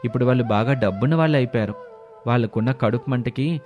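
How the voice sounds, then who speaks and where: A blunt, reproachful family man, played by the male narrator, in a cartoon moral tale. His pitch is average for a man, with light music behind. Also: fast, darkish tone, natural voice. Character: resentful, blunt adult son and father.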